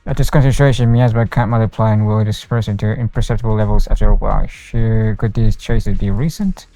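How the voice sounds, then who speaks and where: A man with a smooth, clear voice streams a game, reading dialogue aloud informally. He sits close to the mic in a dry space, with music playing behind him. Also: on the low side, fairly quick, mellow, no echo. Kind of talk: live playthrough, reading dialogue aloud with reactions. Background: game music, faint.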